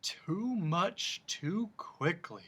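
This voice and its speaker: A pompous, wheezing humbug creature with a high, swooping, showy voice, as a storyteller puts him on. He talks in flowery, repetitive phrases, rising to loud, drawn-out declarations in a storybook reading. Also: big pitch swings, heavily acted.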